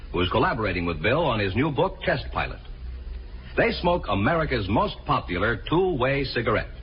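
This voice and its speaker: A man announcing a commercial in 1950s radio advertising style. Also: medium pitch. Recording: muffled old 1950s radio recording, faint noise.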